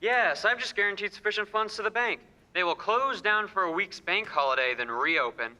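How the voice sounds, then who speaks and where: A man's voice: high voice, expressive, normal speed, sharp tone, heavily acted. A man playing a scheming, smug rich banker who talks in a domineering way in a radio play. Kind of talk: filmed radio play acting. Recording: reverberant theater stage.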